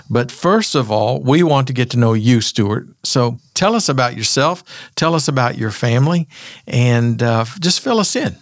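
A man hosting a faith podcast, friendly and quick to laugh as he introduces and interviews his guest. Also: medium pitch, no room sound, clean studio sound. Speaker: podcast host.

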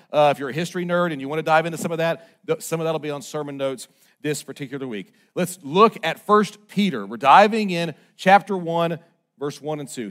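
Chatty pastor with a high voice. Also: male voice. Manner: chatty, self-mocking, checks in with "okay?"; swings from loud and emphatic to low and soft. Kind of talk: conversational sermon.

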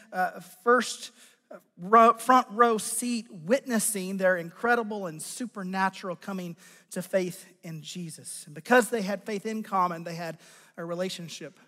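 An American man preaching a conversational teaching sermon as a pastor, with a raspy, strained voice and deliberate, emphatic phrasing. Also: sits high, sharp tone. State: strained voice after losing it. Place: large church sanctuary. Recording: long hall reverb from sound system.

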